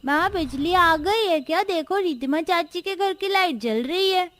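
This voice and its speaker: A curious, hopeful cartoon village child, voiced by a woman, with an extremely high little voice. There is noise and insect chirping behind. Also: measured pace, a bit bright. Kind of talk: cartoon story dialogue.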